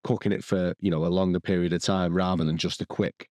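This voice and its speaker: A man's voice: deep voice, flat delivery, quick pace, darkish tone, no room sound, studio quality. A man co-hosting a podcast, rambling and restarting sentences as he lists the dishes he cooked. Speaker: podcast co-host. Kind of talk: casual chat.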